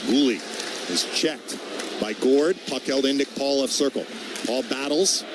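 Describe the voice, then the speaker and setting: A man does live radio hockey play-by-play in short, clipped bursts that track the puck. His pitch sits in the middle, and clear background noise runs under the broadcast. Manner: clipped, rapid-fire phrases following the action. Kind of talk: live sports commentary. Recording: noisy radio broadcast from an arena.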